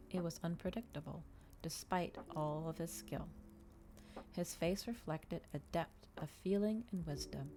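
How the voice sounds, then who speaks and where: A woman with a low, mellow voice reads fiction aloud for an ASMR stream. Her narration is soft and measured, with some ambient noise behind her. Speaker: streamer reading a novel aloud. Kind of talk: ASMR story reading.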